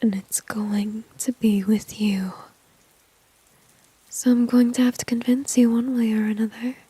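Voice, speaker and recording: A woman's voice: on the high side, little pitch movement, measured pace, warm tone, slightly rough, no echo, low-quality recording. A woman playing a flirty, dominant, confident villain in an ASMR roleplay, whispering breathily and teasingly close to the microphone. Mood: coaxing, confident. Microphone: close microphone, dry.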